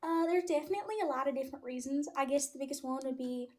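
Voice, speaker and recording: Female caller, 19. Very high-pitched voice, apologetic and earnest. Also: quick pace, dark tone. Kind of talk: call-in debate on a livestream.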